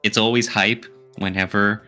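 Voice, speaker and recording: A man with a fairly low, very bright and forceful voice co-hosts a casual gaming podcast. He talks in an opinionated, emphatic manner, and music plays behind him. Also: flat delivery, moderate pace. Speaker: podcast co-host. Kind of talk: casual podcast discussion of video games.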